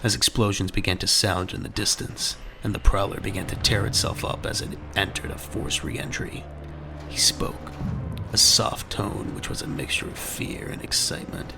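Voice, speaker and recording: A man's voice: lowish voice, little pitch movement, normal speed, gravelly, noisy background. A man narrating a scripted audio drama in a dramatic storytelling style. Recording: music bed under the voice.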